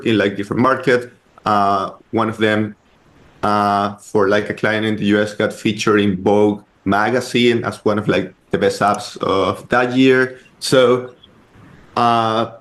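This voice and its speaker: A man with a Chilean accent, a guest on a podcast interview, telling his story in a rambling way full of "like" and "uh". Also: on the low side. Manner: rambling, heavy use of "like" and "uh". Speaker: guest, startup co-founder.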